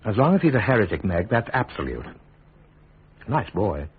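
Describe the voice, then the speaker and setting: Thin radio-drama sound, dry-witted principled father, deep voice, lively intonation, measured, firm. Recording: tinny, band-limited radio drama recording.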